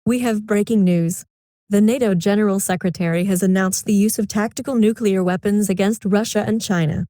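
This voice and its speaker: A serious TV news announcer, played by a woman, reads a scripted bulletin. Her voice is mellow and mid-pitched, formal and composed, with a very dry studio-like sound. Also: fairly even intonation, fairly quick, no room sound, natural voice.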